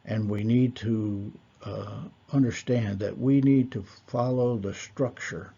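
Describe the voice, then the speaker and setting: Male teacher, mellow and unhurried, measured delivery with pauses; husky timbre. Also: fairly low pitch, fairly even intonation, muffled recording. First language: not Hebrew. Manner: measured, deliberate, with fillers and pauses. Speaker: Torah teacher. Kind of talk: slow lecture.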